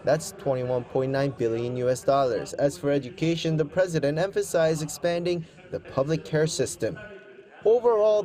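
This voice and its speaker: Higher-voiced male news reporter. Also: darkish tone. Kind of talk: scripted news report narration.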